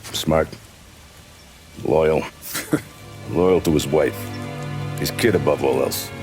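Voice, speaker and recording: An actor playing a grave, menacing gangster boss in a video game, coolly insinuating, with clipped, deliberate, sardonic delivery. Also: male voice, a bass voice, music in the background, full character voice. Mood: cold mock-condolence. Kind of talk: cinematic video game acting.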